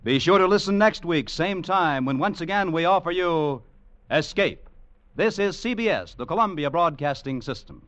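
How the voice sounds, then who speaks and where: A man's voice: a little high, animated, moderate pace, fairly bright tone. A man announcing in a formal, scripted 1940s radio style. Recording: muffled vintage radio recording.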